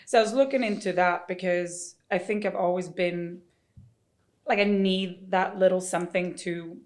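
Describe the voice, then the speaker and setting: A woman's voice: fairly low pitch, steady tone, normal speed, darkish tone. A woman from Sweden chatting casually as a podcast guest and fitness coach. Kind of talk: casual chat.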